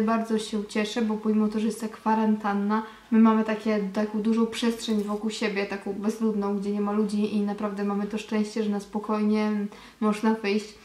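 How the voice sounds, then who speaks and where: A young woman in her twenties from Poland, chatting casually to viewers in a vlog, gushing and full of quick asides, filmed on a handheld camera in her small bedroom. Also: a little high, a little mellow. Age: 20s. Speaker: vlogger. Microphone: handheld camera held close. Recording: roomy camera audio.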